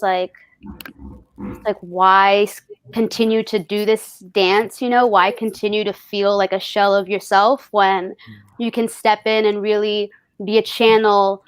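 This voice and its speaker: A woman speaking casually and reflectively in an interview, telling personal stories in an expressive way. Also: medium pitch, dry recording. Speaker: festival artist being interviewed.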